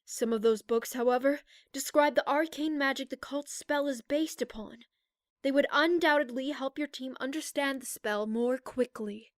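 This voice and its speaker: Woman acting regal, high-voiced pony princess. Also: no room sound, clean studio sound, close to an everyday voice. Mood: grave, authoritative. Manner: formal, measured, composed. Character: regal, grave adult female alicorn pony princess. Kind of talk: scripted audio drama acting.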